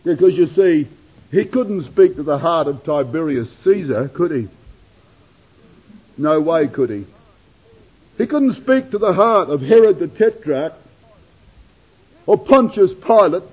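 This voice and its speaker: A man preaching in a high, animated voice that swoops and climbs through each phrase. His tone is husky, and the recording sounds dull and lo-fi. He addresses his listeners as brethren and sisters. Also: unhurried, long pauses. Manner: dramatic rises and drops in pitch and loudness. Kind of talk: sermon.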